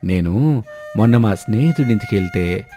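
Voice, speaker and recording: A man's voice: lowish voice, animated, moderate pace, dark tone, soft background music, natural voice. A man voicing a kindly, plain-spoken old village father-in-law in a cartoon moral story. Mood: affectionate.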